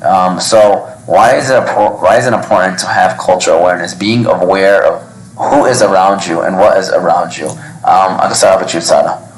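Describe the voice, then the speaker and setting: Low-voiced man hosting in an echoey restaurant. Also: moderate pace. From Yemen. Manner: rambling, hesitant with many ums. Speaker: podcast host. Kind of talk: casual panel discussion. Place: restaurant, live event.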